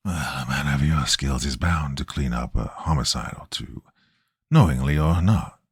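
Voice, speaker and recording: A man voicing a sinister stranger with a raspy, half-whispered wheeze, talking slowly and threateningly. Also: a bass voice, dry recording, clean studio sound, voice pushed down, heavily acted. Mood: menacing. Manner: slow, sly and threatening.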